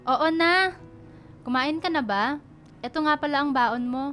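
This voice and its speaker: A woman's voice: sits high, measured pace, fairly bright tone, soft background music. A woman playing a caring, slightly bossy big sister in her twenties in a radio drama, affectionate and teasing. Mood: affectionate, busy. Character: caring, bossy twenty-two-year-old big sister and sweet girlfriend.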